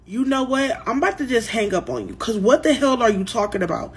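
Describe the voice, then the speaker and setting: A blunt groom in a relationship story, put on by a woman storyteller. He is a man in love with little patience for nonsense. His speech is husky, direct and colloquial. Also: a little high, animated, brisk. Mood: exasperated.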